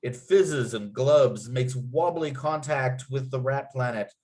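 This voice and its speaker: A man's voice: medium pitch, slow, a little mellow. A man reading stage directions as narrator at a script table read, in vivid storytelling style.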